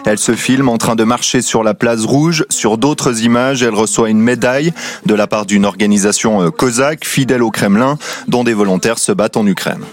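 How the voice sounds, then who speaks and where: A man's voice: mid-range voice, fairly quick. A man delivering a scripted investigative report as a radio reporter.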